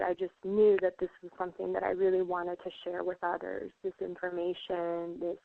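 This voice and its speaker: A woman's voice: fairly low pitch, creaky. An earnest woman from Puerto Rico speaks as a radio guest, reflective and full of fillers. Her voice comes through a thin, phone-like line. There is no room echo. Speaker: guest healer. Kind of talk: radio interview.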